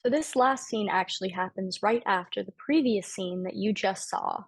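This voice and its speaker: A woman's voice: medium pitch, moderate pace, breathy edge, no room sound. A woman writer-director introducing a table read and reading out the stage directions as scripted narration.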